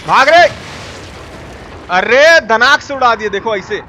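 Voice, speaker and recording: High-pitched excitable man, noisy. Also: a little slow. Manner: excitable, repetitive, slangy and foul-mouthed. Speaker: game streamer. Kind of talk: live gameplay commentary. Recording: clear background noise.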